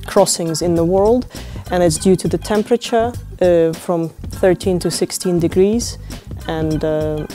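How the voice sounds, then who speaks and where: Low, mellow woman. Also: faint music behind. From Ukraine. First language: Ukrainian. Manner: unhurried, with many fillers and restarts. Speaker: interviewee, open-water swimmer. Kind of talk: reflective interview talk.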